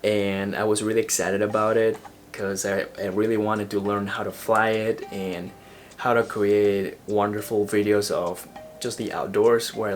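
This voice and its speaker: Earnest young man with Ecuadorian accent. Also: fairly low pitch. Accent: Ecuadorian Spanish. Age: young adult. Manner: earnest, motivational, a few restarts. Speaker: vlogger. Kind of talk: personal vlog monologue.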